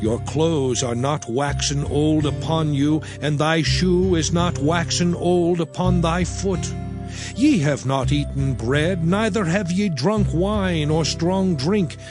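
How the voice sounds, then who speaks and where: A man plays a solemn prophet leading his people in a scripture audio drama. He declaims each phrase deliberately, with grave weight. Music plays clearly behind his voice. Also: a little high. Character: Moses, solemn male prophet and leader addressing his people. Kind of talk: dramatized scripture reading in King James English.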